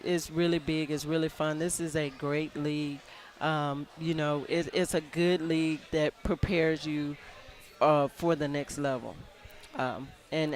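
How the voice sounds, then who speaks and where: A woman basketball coach in a live radio interview, soft-spoken and earnest, often restarting her sentences. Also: low-pitched, slight background noise.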